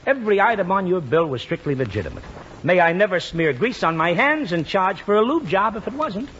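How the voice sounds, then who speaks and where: A man's voice: sits high, big pitch swings, a little fast, a bit bright. A man playing a slippery, mock-sincere American garage mechanic in a vintage radio comedy. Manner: wheedling, mock-sincere, hesitating while totting up. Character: fast-talking, slippery garage mechanic, shamelessly overcharging. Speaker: actor. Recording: noisy muffled old radio broadcast, audience behind.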